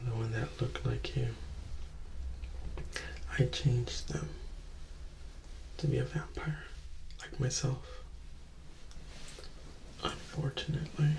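A man in his twenties playing a charismatic, slyly menacing young vampire in a soft-spoken, whispered ASMR roleplay, teasing and hushed. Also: medium pitch, vocal fry, echoey room, poor audio. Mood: calm, confiding. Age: 20s. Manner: slow, hushed, teasing, with lingering pauses. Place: small indoor room or hallway, close to camera.

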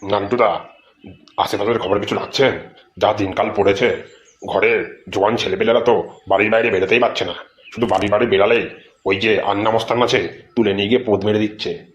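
A man's voice: fairly low pitch, fairly even intonation, a little fast, long pauses, natural voice. A man voicing a gossipy, fretful middle-aged neighbourhood uncle in a comedy cartoon, with a crude, cautioning way of talking. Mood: worried, gossipy. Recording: dull audio, faint background noise.

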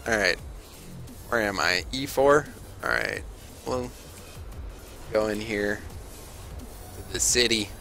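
A young man giving casual, playful and excitable live commentary while he plays a video game. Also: lowish voice, takes it slowly, long pauses, soft background music. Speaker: game streamer. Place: indoor room at a streaming desk.

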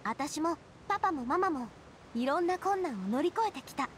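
アニメ調ゲームで、穏やかで茶目っ気のある若い女性リーダーを演じる女性。くだけた女性らしい口調で真摯に話す。. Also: female voice, high voice, animated, normal speed, slight background noise. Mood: wistful, determined. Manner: casual, feminine, softly earnest. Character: bright, resilient young woman leader, gentle and playful. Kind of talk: Japanese anime game voice acting.

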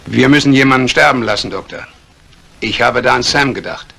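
An actor playing a scheming embezzler in a 1950s radio crime drama, calculating and menacingly polite. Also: male voice, medium pitch, a little fast, vocal fry, sharp tone, a little hiss or hum. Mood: coolly scheming. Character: cold, scheming embezzling banker plotting murder.

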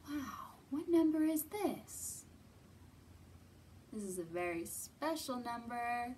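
A woman in her thirties presenting a children's storytime as a librarian, talking simply to small children with drawn-out words and thoughtful hums. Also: a little high, very animated, warm tone, slight background noise. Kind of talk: children's storytime teaching, read-aloud. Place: indoors in a library.

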